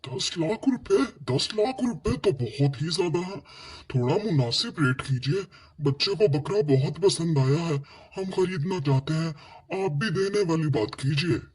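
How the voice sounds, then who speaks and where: A man playing a patient, practical father in a children's cartoon, giving gentle instructions in a rough voice. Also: a little high, expressive, unhurried, few pauses. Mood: bargaining. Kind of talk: Hindi children's cartoon dialogue. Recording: music under the voice.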